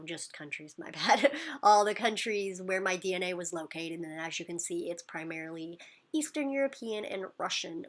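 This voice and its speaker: A woman's voice: lowish voice, fairly bright tone. A woman talking casually to camera in a vlog, loose and chatty with lots of "like" and "um". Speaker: vlogger.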